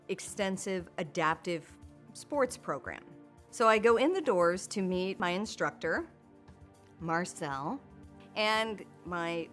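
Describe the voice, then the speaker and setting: A woman's voice: mid-range voice, bright, forceful tone. A woman, a Paralympic skier, tells her own story in a documentary interview, speaking candidly and often breaking into laughter mid-sentence.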